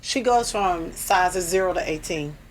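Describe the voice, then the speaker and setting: A woman talk show guest chatting excitedly and telling a story with laughter. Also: fairly low pitch, unhurried, slight background noise, low-quality recording. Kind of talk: casual chat, storytelling.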